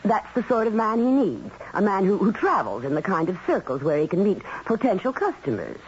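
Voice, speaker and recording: A woman's voice: mid-range voice, sing-song, fairly quick, close to an everyday voice. A woman playing a pampered, rich-born wife in a radio drama, airy and teasing, calling her husband darling. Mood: insistent. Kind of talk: 1970s radio drama acting. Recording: dull old radio broadcast, faint hiss.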